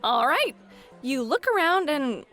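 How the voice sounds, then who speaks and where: High, expressive, playful hostess played by a woman. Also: unhurried, soft background music, exaggerated voice. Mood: narrating, engaged. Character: friendly, flirty club hostess who runs tabletop games. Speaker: host and dungeon master. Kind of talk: ASMR roleplay.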